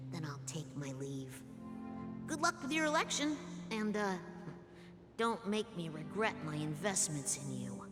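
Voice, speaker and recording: Slow, mocking, defiant game villainess in reverb. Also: female voice, lowish voice, sing-song. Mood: scornful, taunting. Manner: taunting, drawling, theatrical. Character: haughty, mocking, defiant woman with a cynical streak. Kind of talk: video game voice acting. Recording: long hall reverb, loud music behind. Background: game music.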